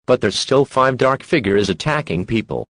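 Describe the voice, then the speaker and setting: A male announcer reads a scripted emergency broadcast in a dry, close sound. His delivery is formal and synthetic, with fairly varied pitch around a medium level. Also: animated, moderate pace, a bit bright, no room sound.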